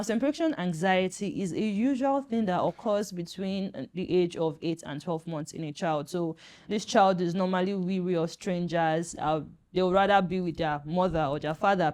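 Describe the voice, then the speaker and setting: A woman doctor on a panel, calmly explaining childhood anxiety to parents in an earnest, reassuring way, with frequent fillers. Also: fairly low pitch. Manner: earnest and reassuring, with many "you know" fillers. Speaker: pediatric doctor and guest.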